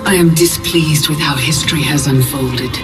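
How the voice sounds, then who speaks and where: A woman's voice: low voice, normal speed, music in the background, natural voice. A woman playing a cold, imperious goddess of time in a video game cutscene, formal and regal in manner. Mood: cold, displeased.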